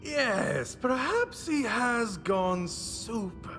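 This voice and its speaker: A man's voice: extremely high pitch, big pitch swings, extremely slow, fairly bright tone, full character voice. A man voicing a pompous, sarcastic, seething villainous boss in a cartoonish game cutscene. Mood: mocking, seething. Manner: pompous, grandiose, mock-delighted. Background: music behind the voice.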